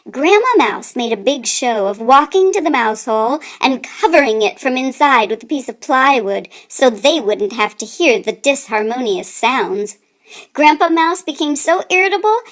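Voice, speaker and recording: A woman reading a children's story aloud with deliberate, dramatic emphasis. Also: high voice, lively intonation. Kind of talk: expressive read-aloud narration for children.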